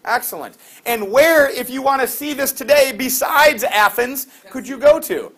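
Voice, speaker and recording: High-voiced lively male teacher. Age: middle-aged. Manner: lively, quizzing students with quick questions. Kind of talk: classroom lecture with student questions. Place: classroom.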